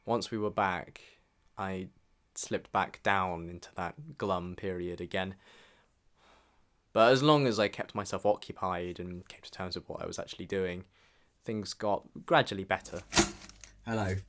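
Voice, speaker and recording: A man's voice: low voice, unhurried, a bit bright. A young British man speaks as a vlogger reflecting on his own life. His delivery is casual and rambling, and he tends to put himself down as he goes. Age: early 20s. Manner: self-deprecating, rambling. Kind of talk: casual personal vlog and reflective narration.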